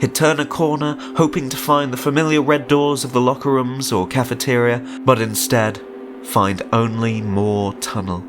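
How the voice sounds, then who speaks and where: A man's voice: medium pitch, fairly even intonation, moderate pace. A man reading a scripted horror story as narrator, in a dramatic storytelling style. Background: music.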